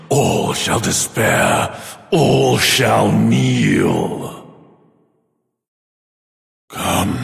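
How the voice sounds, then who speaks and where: An actor playing a grandiose demon overlord in a video game, pompous and menacing, with a gravelly voice and theatrical proclamations. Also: male voice, lowish voice, exaggerated voice. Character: grandiose demon overlord villain, bringer of oblivion. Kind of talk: video game villain voice acting. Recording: cavernous hall reverb, music bed.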